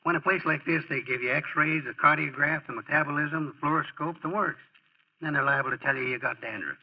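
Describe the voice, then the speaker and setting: A man's voice: fairly high pitch, fairly quick, slightly rough. An actor playing a folksy, slangy, protective male friend in a 1940s-style radio drama. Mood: breezy reassurance. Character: loyal sportswriter friend, folksy, reassuring, protective man. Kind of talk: 1940s radio acting, dialogue and narration. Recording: muffled old radio broadcast recording.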